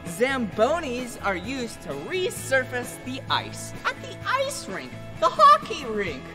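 A man's voice: extremely high pitch, huge pitch swings, slow, over loud music. A young man hosting a children's educational show at an indoor ice rink, full of exclamations and giggles. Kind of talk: animated educational narration for toddlers.

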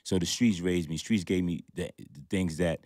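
A man's voice: low-pitched, fairly even intonation, moderate pace, darkish tone, no echo. A man in his thirties, a guest in a radio studio discussion, speaking earnestly about his past and often repeating words. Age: 30s. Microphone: studio mic.

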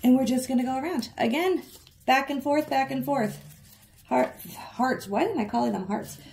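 A woman with a froggy, hoarse voice chats through a craft tutorial while working at a coffee table in her living room. She is self-deprecating and laughs at her own mistakes. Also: sits high, normal speed, a little mellow. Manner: chatty, self-deprecating, giggly. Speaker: craft vlogger. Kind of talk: casual DIY tutorial narration.

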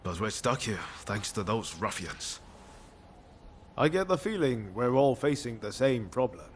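A man's voice: medium pitch, big pitch swings, measured pace, a little hiss or hum. A man playing an easygoing, laconic wandering samurai in a video game, casual and understated. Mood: laid-back. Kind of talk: naturalistic video game voice acting.

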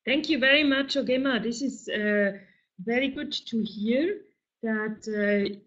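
A moderator speaks slowly and courteously, guiding a webinar discussion with frequent hesitations. The call audio sounds dull and lo-fi. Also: medium pitch, a bit bright. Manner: polite, hesitant, many fillers. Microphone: video call.

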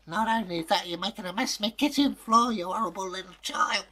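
A fierce storybook mother, played by a man, pitched high and shrill. She scolds with open menace and a murderous temper. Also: quick pace, sharp tone. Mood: menacing.

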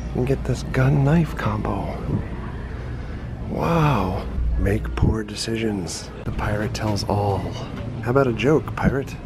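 A man's voice: fairly low pitch, slow pace. A man in his forties giving a wry, chatty running commentary as he tours sights on a trip, filmed on a handheld camera. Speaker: travel vlogger. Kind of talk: casual travel vlog narration. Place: busy indoor restaurant. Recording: noisy restaurant crowd around him.

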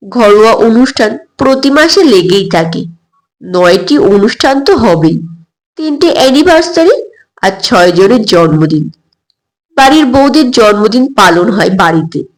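A woman reading a scripted first-person story as narrator, in an expressive storytelling style. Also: fairly high pitch, unhurried, rough recording.

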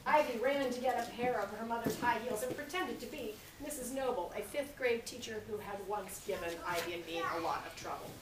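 A woman's voice: on the high side, brisk, few pauses, crowd noise. A middle-aged American woman reading a children's story aloud expressively, projecting to young listeners. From California, US. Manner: animated, projecting to a young audience. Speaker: children's author reading at a library. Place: library reading area, indoors. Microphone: camera a few metres away. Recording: echoey, noisy room, distant camera mic.

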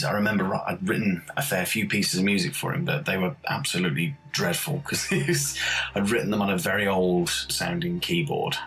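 A man's voice: sits low, a bit bright, poor audio. A man, a composer, talking casually in an interview about his own work. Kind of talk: casual behind-the-scenes reminiscence.

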